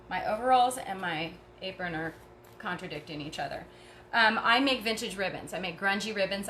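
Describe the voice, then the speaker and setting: Chatty, bright-voiced woman crafting. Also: medium pitch, slight background noise. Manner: chatty and encouraging, talks while working. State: tired. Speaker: craft tutorial host. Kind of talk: casual craft demonstration.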